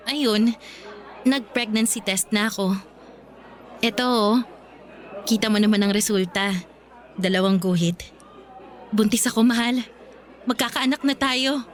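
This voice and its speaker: A woman's voice: medium pitch, natural voice. An actress playing an emotional, pleading young pregnant girlfriend who stands her ground in a Filipino radio drama. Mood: nervous, hopeful. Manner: emotional, confrontational. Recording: music bed under the voice.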